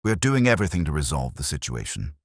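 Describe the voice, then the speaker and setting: A man reading as a wry, low-voiced inspector. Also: no room sound, clean studio sound, exaggerated voice. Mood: measured. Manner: calm, measured and wry. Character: mild, dry-witted male police inspector.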